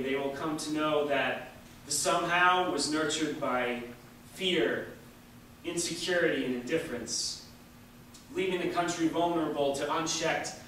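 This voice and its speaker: Male, forceful bright voice, emphatic oratory, deliberate pauses, distant echoey hall. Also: a little high. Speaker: reader at a memorial staged reading. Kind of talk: dramatic recitation of a political speech. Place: large echoey indoor hall, distant microphone. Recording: echoey hall from far off, faint noise.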